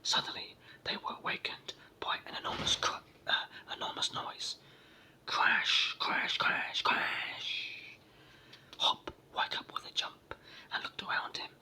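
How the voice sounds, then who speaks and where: A person with a sore throat and a cold, whispering a children's story in a raspy, froggy voice as ASMR narration. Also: slow. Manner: hushed whispering throughout.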